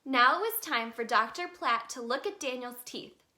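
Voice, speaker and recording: A woman reading a children's picture book aloud as a storyteller, in an expressive, sing-song manner aimed at young children. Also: high-pitched, moderate pace. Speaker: storyteller in character as the Tooth Fairy.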